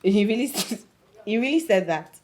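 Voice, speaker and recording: Dramatic Nigerian best friend, lowish voice. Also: female voice, animated, unhurried. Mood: amused. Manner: theatrical, teasing, interjects with local words. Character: teasing, dramatic young village woman, nosy best friend. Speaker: actress in a film drama. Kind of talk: naturalistic film drama dialogue.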